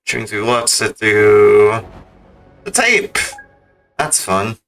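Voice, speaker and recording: A man's voice: lowish voice, big pitch swings, takes it slowly, fairly bright tone, soft background music. A man giving casual gameplay commentary as a speedrun streamer. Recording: keyboard clicks and background noise.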